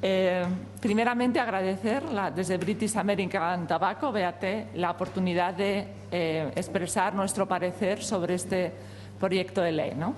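A woman, a native Spanish speaker, reads out formal testimony at a hearing. She moves at a measured, hesitant pace and fills her pauses. The sound carries a long hall reverb with a faint hum behind it. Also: mid-range voice, fairly even intonation, darkish tone. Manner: measured, hesitant, with frequent fillers. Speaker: company representative at a parliamentary hearing.

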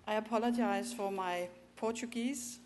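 A woman's voice: a little high, moderate pace, darkish tone, slight background noise. A woman with a Danish accent, a native Danish speaker, giving a conference presentation in a hesitant way, with many fillers and restarts. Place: conference hall.

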